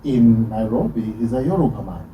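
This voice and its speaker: A man's voice: on the low side, animated, moderate pace, dull audio. A man from Kenya chatting as a guest on a radio show, laughing often. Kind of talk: radio interview chat. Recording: distant mic with faint noise.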